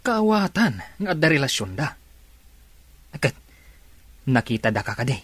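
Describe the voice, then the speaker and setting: A man's voice: medium pitch, sing-song, moderate pace, slightly rough, no room sound. An actor playing a supportive male coworker in an Ilocano radio drama, brotherly and given to philosophical advice. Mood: consoling.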